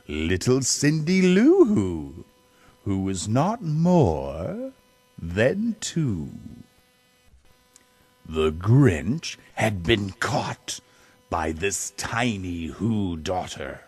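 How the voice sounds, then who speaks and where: A man's voice: low-pitched, a little mellow, slightly rough, dry recording. A middle-aged man reading a children's storybook dramatically, drawing out words in a theatrical way. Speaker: amateur storyteller reading for family.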